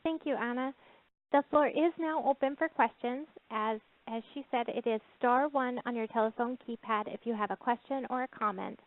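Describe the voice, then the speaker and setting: A woman working as a call operator, giving formal, courteous scripted announcements. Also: high voice. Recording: narrow-band telephone conference line.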